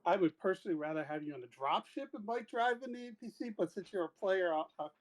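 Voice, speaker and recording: A man with a slightly high voice talks as a player in a tabletop game. His delivery is casual and hesitant, with decisions worked out aloud. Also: lively intonation, fast. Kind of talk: casual chat.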